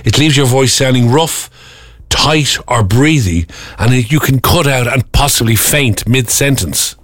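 Husky radio host. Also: male voice, mid-range voice, measured pace, no room sound. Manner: chatty, jokey, slips into silly voices. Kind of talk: casual morning radio chat. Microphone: studio microphone.

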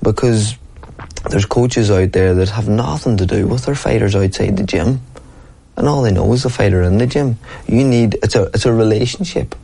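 Earnest low-voiced boxer. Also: male voice, moderate pace, creaky, mellow, breathy edge. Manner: earnest, rambling, frequent "you know what I mean". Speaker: professional boxer, interview guest. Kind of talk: casual interview talk.

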